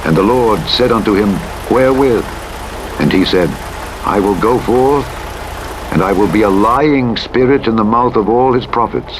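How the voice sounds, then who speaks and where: Deep-voiced man reading scripture over truck noise. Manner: measured, formal, dramatic reading. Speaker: audiobook Bible narrator. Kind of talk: solemn scripted scripture narration. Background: truck engine and vehicle sounds from driving simulator game.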